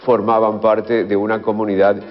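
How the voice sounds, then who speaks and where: An Argentine man explaining as an interviewed expert, halting and often rephrasing himself. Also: on the low side, flat delivery. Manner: halting, self-correcting. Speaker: radio history expert interviewee. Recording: dull, long reverberant room sound.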